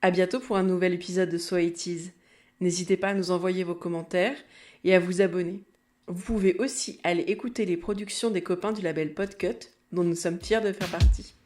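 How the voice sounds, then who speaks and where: A woman's voice: on the low side, fairly even intonation, brisk, darkish tone. A woman in her thirties with an accent from France, hosting a nostalgic podcast monologue in a wry, confiding, conversational way. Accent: French from France. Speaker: podcast host.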